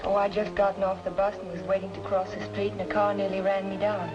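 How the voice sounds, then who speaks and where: A woman's voice: medium pitch, level tone, fast talker, muffled recording, natural voice. An actress plays an anxious, stubborn young woman in a vintage radio noir. Her delivery is intense and emotive, in a middling register, with music heard behind her. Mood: uneasy. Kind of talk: old-time radio noir drama acting.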